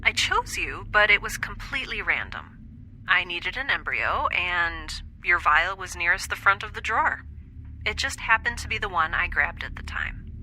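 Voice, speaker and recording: A detached scientist mother, as a female narrator puts her on, speaks bluntly and clinically in quoted audio drama dialogue. The woman's voice is very bright and clear-edged, with faint noise behind it. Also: on the low side, big pitch swings, normal speed, full character voice, low-quality recording. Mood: detached, matter-of-fact. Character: cool, detached scientist mother, matter-of-fact and dismissive.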